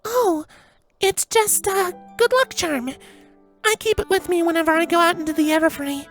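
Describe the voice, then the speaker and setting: A woman's voice: very high-pitched, normal speed, faint music behind. A woman voicing an anxious, guarded young female pony in dramatized story dialogue. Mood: nervous, hurried. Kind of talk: audio drama character dialogue.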